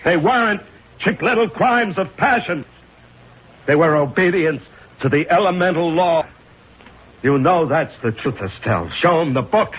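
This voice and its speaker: An actor playing a stern, pompous older judge with madness in him, orotund and theatrical, in a 1970s radio drama. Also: male voice, a little high, animated, unhurried, pauses often, breathy edge. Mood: frenzied, confessing. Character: stern older male judge, pompous, secretly mad killer. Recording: dull, noisy 1970s radio recording.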